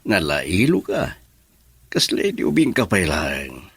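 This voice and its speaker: A man's voice: low-pitched, wildly swooping pitch, a little slow, husky, no echo, heavily acted. An actor playing a fond middle-aged father in an Ilocano radio drama. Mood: fond, teasing.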